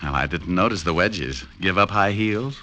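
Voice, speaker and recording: A man's voice: low-pitched, expressive, normal speed, pushed and bright. An actor playing a cynical, wisecracking male private detective in an old-time radio noir. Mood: flippant. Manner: wry, hard-boiled, flippant.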